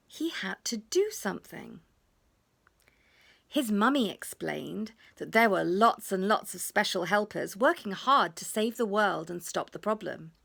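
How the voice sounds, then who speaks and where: A woman reading a children's storybook expressively, with drawn-out emphasis and hushed moments. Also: medium pitch, gravelly. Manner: dramatic pauses, drawn-out emphasis, drops to hushed tones.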